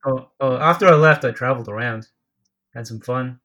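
A man's voice: medium pitch, normal speed, bright, forceful tone. Australian male co-host, wry self-mocking humor, loose podcast banter over a call. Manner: self-deprecating, jokey. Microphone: remote call.

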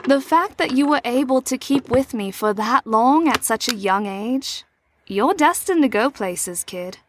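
High-voiced, shrewd, teasing mentor. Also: female voice, animated, a little hiss or hum. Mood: reassuring. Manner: measured, knowing, probing. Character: shrewd, teasing adult mentor to troubled teens. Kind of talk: audio drama acting.

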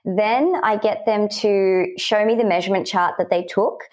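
A woman's voice: mid-range voice, steady tone, moderate pace. A young woman with an Australian accent, chatting as a podcast guest and telling her story in an upbeat way, laughing often. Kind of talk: casual podcast interview.

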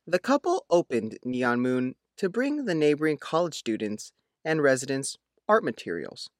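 A man's voice: on the high side, very animated, moderate pace. A man reading a written local news feature in a scripted narration style.

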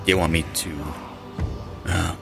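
A suggestible, uncertain man speaks haltingly in a low voice. It is horror audio drama, with music behind him. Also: flat delivery, vocal fry, exaggerated voice. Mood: uneasy, submissive. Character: hesitant, suggestible man seeking sinister guidance.

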